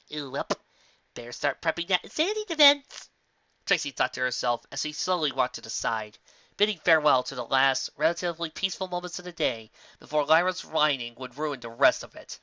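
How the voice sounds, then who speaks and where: A woman narrating a story in an expressive amateur reading style. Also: extremely low pitch, no echo, dull audio.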